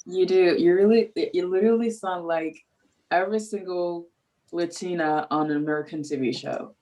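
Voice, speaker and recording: Chatty low-voiced woman on a call. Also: moderate pace, warm tone, a little hiss or hum, rough recording. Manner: chatty, complimentary, friendly. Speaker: student in a group meeting. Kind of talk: casual online meeting. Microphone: video call.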